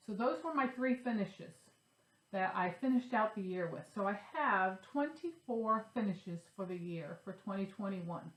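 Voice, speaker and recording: A woman's voice: mid-range voice, lively intonation, normal speed. A woman with a stuffy, nasal voice from a cold, chatting casually to her viewers about her craft projects. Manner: chatty, upbeat, shows her stitching. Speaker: cross-stitch vlogger. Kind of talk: casual chat to camera. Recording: echoey room.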